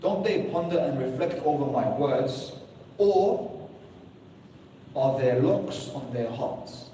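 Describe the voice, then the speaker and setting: A man's voice: mid-range voice, lively intonation, slow, dark tone, slight background noise. A man giving a sermon to a room of listeners, earnest and full of rhetorical questions, now and then reciting Arabic verses. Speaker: preacher. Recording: echoey hall, distant mic.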